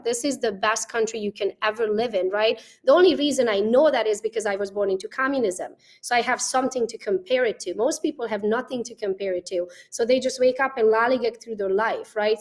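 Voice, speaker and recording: Energetic Romanian-accented woman realtor. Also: a little high. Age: 30s. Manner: energetic, emphatic, peppers talk with "right?". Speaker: guest realtor. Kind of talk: podcast interview.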